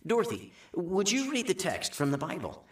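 Echoey hall, fervent mission preacher, very lively intonation, exhorting, radio drama. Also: male voice, high-pitched, normal speed. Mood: welcoming. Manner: earnest, exhorting.

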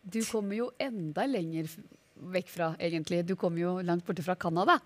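A woman's voice: lowish voice, a little fast, no room sound. A woman hosting a talk show, welcoming her next guest. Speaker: host.

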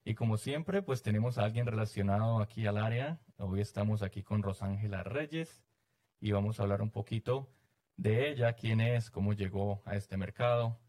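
Male interview host with a mellow voice. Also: on the low side, moderate pace. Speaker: show host interviewing a guest. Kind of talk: conversational real estate interview.

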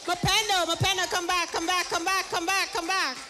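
Lady emcee with a really high voice, teasing and hyping people up, repeats herself, music going loud. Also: little pitch movement, a little fast. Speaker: stage host. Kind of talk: hyping a live crowd.

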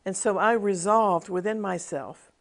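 A woman's voice: mid-range voice, measured pace. A middle-aged woman television minister giving a devotional teaching with a personal testimony, in an earnest, emphatic preaching cadence.

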